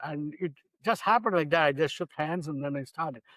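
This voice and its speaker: A man in his sixties from India, a physician guest telling his story in an unhurried, reflective way in a studio interview. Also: on the high side, a bit bright, no room sound, poor audio. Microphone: studio microphone.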